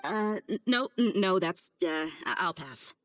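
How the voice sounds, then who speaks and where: An actress playing a confident, easygoing, tough mercenary in a video game, with a breezy, informal, streetwise manner. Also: female voice, fairly low pitch, expressive, measured pace, over music. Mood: flustered. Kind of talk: casual video game dialogue acting.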